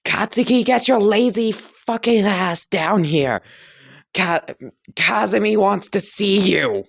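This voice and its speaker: A man voicing a loud, crude, bossy middle-aged mother who yells and swears. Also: medium pitch, expressive, measured pace, voice pushed up, lightly acted. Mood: yelling. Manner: yelling, swearing.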